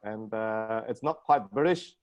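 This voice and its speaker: A man's voice: fairly low pitch, unhurried. Middle-aged man with a South African accent. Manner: self-deprecating, joking. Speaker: guest lecturer, plastic surgeon. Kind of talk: informal webinar lecture. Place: indoor room at home, video call.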